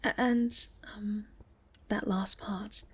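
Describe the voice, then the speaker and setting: A woman playing a sweet, shy, gentle moth-girl monster in a soft, halting ASMR roleplay, often slipping toward a whisper. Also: mid-range voice, steady tone, slightly rough. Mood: hesitant, wondering.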